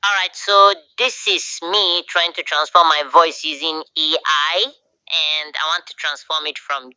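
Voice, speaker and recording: A man's voice: on the high side, fairly even intonation, a little slow, bright, forceful tone, smooth, highs cut off, pitched above the performer's own voice. A man speaking through an AI voice changer as a youthful cartoon sponge character. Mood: demonstrating.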